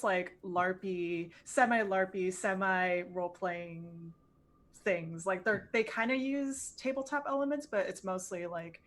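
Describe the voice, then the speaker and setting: A woman's voice: lowish voice, fairly bright tone. A woman in her thirties, a game designer chatting as an interview guest, hesitant and full of fillers. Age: 30s. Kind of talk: casual interview chat.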